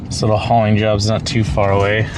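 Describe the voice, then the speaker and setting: A man's voice: lowish voice, little pitch movement, a little fast, over music. A man doing a casual, unscripted work vlog as a hauling operator, thinking aloud as he goes. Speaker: junk hauling truck owner-operator vlogger. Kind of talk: rambling casual vlog narration while working. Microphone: wireless clip-on mic. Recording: loud outdoor and vehicle noise.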